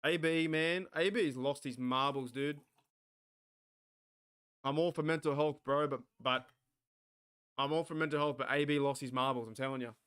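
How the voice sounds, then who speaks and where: An Australian man hosting a sports watch party stream, rambling excitably, swearing and talking back to his chat. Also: on the high side, normal speed, pauses often, clean tone. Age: 40s.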